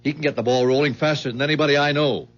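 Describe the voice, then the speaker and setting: An actor playing a pushy, shrewd, domineering company boss in a 1970s radio drama, speaking in a brisk, bossy way. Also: male voice, fairly high pitch, fairly even intonation, fairly bright tone, faint music behind, lightly acted. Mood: brisk, commanding. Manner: brisk, bossy, talks in sports metaphors. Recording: dull vintage 1970s radio recording.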